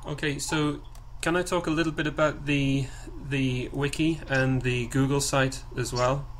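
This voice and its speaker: A man informally presenting to a group on a conference call, haltingly and with repeated words and phrases. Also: medium pitch, little pitch movement. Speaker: project coordinator leading a group call. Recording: clearly noisy conference call recording.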